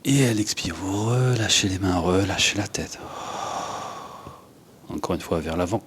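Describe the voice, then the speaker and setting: A man leads a guided yoga and breathing class, giving unhurried instructions in short phrases with pauses. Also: low-pitched, a bit bright, a little hiss or hum. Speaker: yoga teacher.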